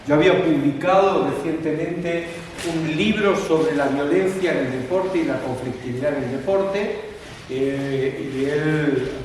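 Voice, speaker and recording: A man's voice: on the high side, darkish tone. Man with an Argentine accent, echoey hall. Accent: Argentine Spanish. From Catalonia, Spain. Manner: conversational, halting with fillers and restarts. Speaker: guest speaker. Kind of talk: public lecture. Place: lecture hall. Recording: echoey hall from far off with faint background noise.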